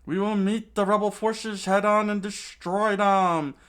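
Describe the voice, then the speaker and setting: A loyal guard captain, voiced by a man who speaks firmly and with authority. His low voice sounds steady and commanding. It sounds like a storybook retelling. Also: unhurried. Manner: firm and commanding.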